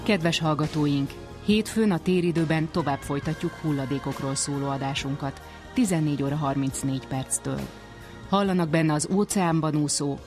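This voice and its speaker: A radio presenter reads scripted narration. The voice sits at a medium pitch, with faint music playing underneath. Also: darkish tone.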